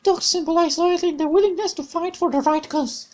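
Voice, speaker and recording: A man voicing a young woman soldier from a war game story, gentle on the surface but ruthless underneath, read in a soft, earnest way. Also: very high voice, brisk, voice pushed up. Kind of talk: reading visual novel dialogue aloud.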